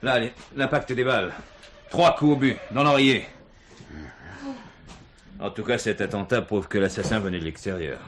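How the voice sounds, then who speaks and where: An actor playing a shrewd, authoritative police commissioner in a French radio crime drama, speaking in a commanding, deliberate way. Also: male voice, fairly low pitch, a bit bright, close to an everyday voice. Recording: noisy 1970s radio drama recording.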